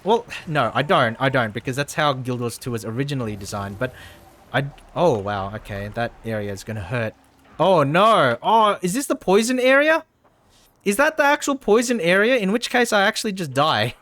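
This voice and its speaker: A man's voice: a little high, lively intonation, moderate pace, a bit bright. A man casually commentating on a game as he livestreams, rambling and often repeating his phrases. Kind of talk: casual gameplay commentary and chat.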